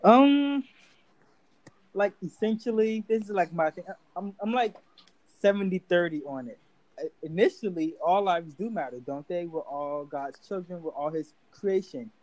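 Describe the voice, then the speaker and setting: A man's voice: sits high, normal speed, darkish tone, dry recording, rough recording. A young man speaking earnestly in a casual debate, building up his emphasis.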